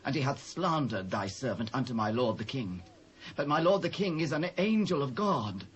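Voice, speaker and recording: A man's voice: medium pitch, natural voice. Guy acting a humble british servant, hurt but loyal, bible drama, mid voice, bit of tape noise. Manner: deferential, earnest. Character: lame, loyal royal servant, humble and aggrieved. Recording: faintly noisy cassette.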